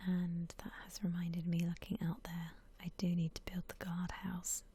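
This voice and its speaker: A woman whispering gently and unhurriedly in a soft, breathy ASMR style, musing aloud as she comments on a game. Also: on the low side, completely flat delivery, moderate pace. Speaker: host of an ASMR gaming channel.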